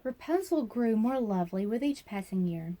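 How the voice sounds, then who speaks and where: A woman's voice: fairly high pitch, lively intonation, moderate pace, noisy background. A woman narrating a children's fairy tale as a storyteller, reading aloud expressively.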